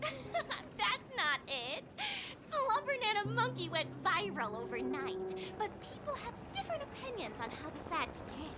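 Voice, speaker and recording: An actress voicing an excitable young female student who talks with eager, gushing enthusiasm. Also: very high voice, measured pace, exaggerated voice. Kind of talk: game cutscene dialogue. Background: loud game music.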